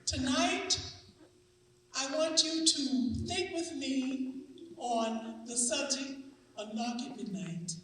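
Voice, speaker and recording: Echoey, high-voiced woman giving a solemn introduction. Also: a little hiss or hum. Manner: measured, solemn, deliberate phrasing. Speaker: presenter introducing a readers theatre program. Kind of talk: formal ceremonial introduction, oratorical. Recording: echoey room, distant mic.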